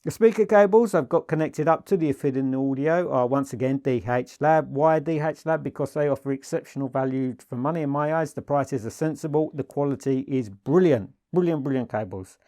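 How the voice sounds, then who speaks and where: A man's voice: mid-range voice, brisk, hardly any pauses, warm tone. A middle-aged British man giving an informal product presentation as a dealer, enthusiastic and emphatic, often repeating his superlatives.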